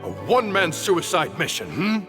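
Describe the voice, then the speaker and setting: Stern older scholar in a game, sarcastic telling-off, swoops around, music behind. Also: male voice, high voice, very animated, normal speed. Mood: indignant scolding. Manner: sarcastic, scolding, articulate. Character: stern, exasperated older male scholar scolding a colleague. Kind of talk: video game voice acting.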